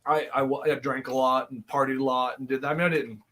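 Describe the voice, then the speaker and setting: A man's voice: medium pitch, little pitch movement, fast talker, a bit bright. A man in his thirties, a podcast guest, telling long rambling stories in casual chat, laughing often and restarting his sentences. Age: 30s.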